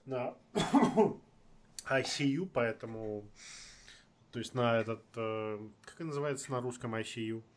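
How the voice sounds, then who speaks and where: A man in casual chat, animated and thinking aloud, often restarting his words. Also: medium pitch, a little slow.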